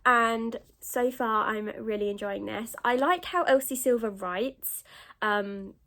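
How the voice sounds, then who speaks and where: A British woman with a fairly high voice chats informally as a book vlogger. She sounds groggy and sniffly from a cold, and she rambles along in an easy, conversational style. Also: slow, pushed and bright. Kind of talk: casual rambling vlog chat.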